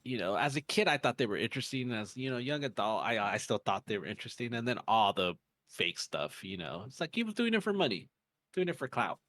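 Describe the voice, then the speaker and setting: A man's voice: mid-range voice, big pitch swings, a little fast, a bit bright, dry recording, studio quality. A man with an American accent chatting casually as a podcast co-host, slangy and full of "bro" and "dude". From United States. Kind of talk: casual banter.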